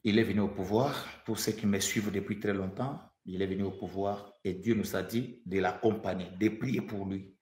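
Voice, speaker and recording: A man's voice: sits low, fairly even intonation, moderate pace, hardly any pauses, a little mellow, reverberant. A man from the Democratic Republic of the Congo preaching a prophetic sermon, fervent, repeating phrases for emphasis and stammering when he restarts.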